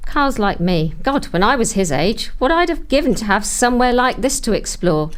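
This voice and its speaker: A woman's voice: on the high side, sing-song, moderate pace. A woman playing a teasing middle-aged mother in an audio drama, with a dry, sarcastic manner. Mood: wry, fond.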